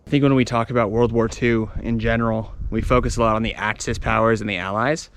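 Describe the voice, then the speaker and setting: A man's voice: mid-range voice, fairly even intonation, quick pace, a little hiss or hum. A man talking casually and informally as a travel vlogger, explaining things unscripted while walking around outdoors. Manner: chatty, informal, explaining as he explores.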